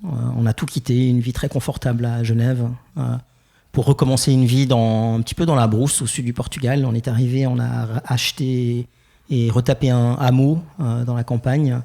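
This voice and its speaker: A young Swiss French man from Geneva, telling his life story as a podcast guest, thoughtful and hesitant with many pauses and restarts. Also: medium pitch, level tone, creaky, dark tone. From Geneva, Switzerland. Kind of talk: reflective personal interview.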